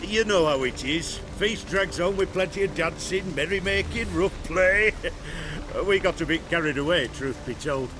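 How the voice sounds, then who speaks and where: A man's voice: sits high, normal speed, noisy background, lightly acted. An actor playing a cocky, crude bandit in a fantasy game, mocking and loose in his talk. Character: cocky, crude male bandit, jeering and boastful. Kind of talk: fantasy video game dialogue.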